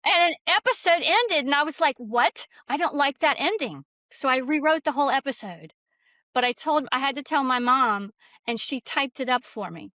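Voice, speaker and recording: Female guest, slightly high voice, casual storyteller, dry but dull lo-fi recording. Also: lively intonation, moderate pace, bright, forceful tone, no echo. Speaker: podcast guest, novelist.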